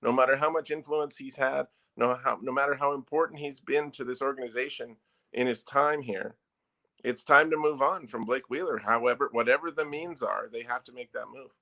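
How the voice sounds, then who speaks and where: A man who is a newspaper sports editor, guesting on a sports talk show. His voice is bright and forceful, and he states his opinions firmly and emphatically. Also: mid-range voice, fairly quick, gravelly.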